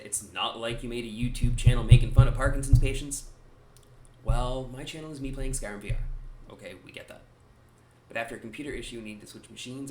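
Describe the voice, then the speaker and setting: A man's voice: on the low side, slight background noise. A man, a gaming channel host, talking casually to his viewers in a rambling, wry way with self-deprecating asides. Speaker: gaming YouTuber.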